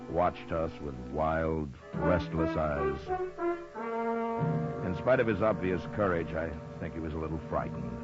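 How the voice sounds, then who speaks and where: An actor playing a grave, patient frontier marshal in an old-time radio western, measured and plain-spoken. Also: male voice, sits low, close to an everyday voice. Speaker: lead character and narrator of a western. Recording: muffled old radio recording, music behind.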